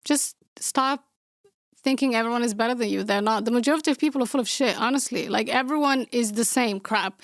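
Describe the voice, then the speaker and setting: A young woman with a Somali accent and a fairly high voice hosts a casual question-and-answer podcast. She talks bluntly and outspokenly, tossing in dry sarcastic asides. The sound is close and dry. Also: a little fast, dry recording. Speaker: podcast host.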